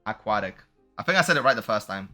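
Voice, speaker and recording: Male game streamer: bright, forward voice, slangy off-the-cuff commentary, faint music underneath. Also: on the low side, expressive, fast talker. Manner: informal, slangy. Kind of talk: casual live game commentary, reading dialogue aloud and chatting with viewers.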